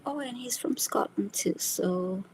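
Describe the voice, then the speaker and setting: A woman's voice: mid-range voice, measured pace, darkish tone, a little hiss or hum. A Brazilian woman, a native Portuguese speaker, co-hosting a live reading, hesitant with many fillers and half-whispered asides. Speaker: co-host. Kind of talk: live-stream chat reading out comments.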